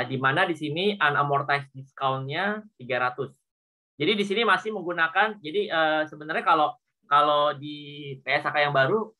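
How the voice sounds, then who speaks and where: Bright-voiced Indonesian man giving a lecture. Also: on the high side. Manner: repeats points, frequent confirming tags. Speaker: accounting lecturer. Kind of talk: informal lecture, explaining worked problems.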